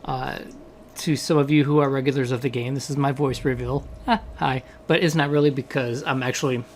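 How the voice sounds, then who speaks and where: Hoarse man walking through his game. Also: mid-range voice, fairly even intonation, fairly quick, a little hiss or hum. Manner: rambling, many fillers and "right?" tags. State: hoarse from a cold. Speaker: game developer. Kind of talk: casual game walkthrough.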